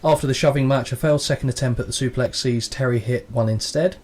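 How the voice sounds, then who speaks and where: A man's voice: medium pitch, fast, darkish tone. A man co-hosting a podcast, reading out match notes in a casual play-by-play recap with a dry, crude sense of humour. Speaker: podcast co-host.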